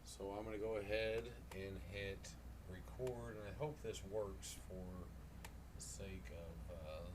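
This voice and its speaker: A man with a low voice talks through a software tutorial in a casual, folksy way. He sounds like an informal presenter at his computer, with some noise behind him. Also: steady tone, slow pace. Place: indoors at a computer. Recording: clear background noise.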